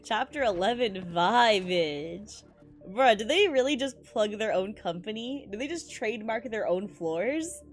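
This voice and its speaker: A woman's voice: medium pitch, expressive, unhurried. A woman game streamer giving casual, slangy reaction commentary with exclamations and swearing. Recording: music behind the voice. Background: game music.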